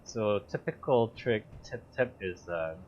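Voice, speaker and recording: A man whose first language is Korean, streaming a game and thinking aloud in a halting way with many fillers. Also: on the low side, lively intonation, darkish tone, highs cut off, slight background noise. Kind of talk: casual gameplay commentary.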